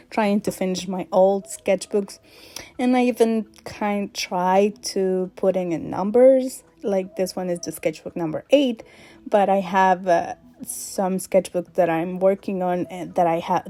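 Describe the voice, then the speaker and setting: Slow, soft-spoken woman with an accent. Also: medium pitch. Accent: non-native English accent. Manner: hesitant, many fillers, soft laughs. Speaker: art vlogger. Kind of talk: casual sketchbook vlog chat.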